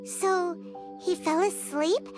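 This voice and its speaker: A woman voicing a tiny, excitable, childlike fairy companion with a squeaky, exclamatory delivery for a video game. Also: takes it slowly. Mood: curious, concerned. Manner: exclamatory, speaks of self in third person. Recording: loud music underneath.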